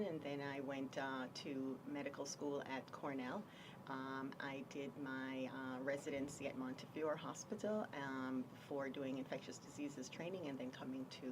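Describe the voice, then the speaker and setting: Soft-spoken woman, distant mic, low voice. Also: steady tone, background noise. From Jamaica. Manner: measured, explanatory, frequent ums. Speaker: infectious diseases doctor, guest. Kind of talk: radio health interview.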